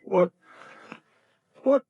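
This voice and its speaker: An actor playing a starved, parched, wronged middle-aged captive, anguished and melodramatic, in a 1930s radio drama. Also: male voice, very slow, no echo, lo-fi sound. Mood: weak, pleading. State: weak and parched. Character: weak, starved, wronged father held captive, defiant.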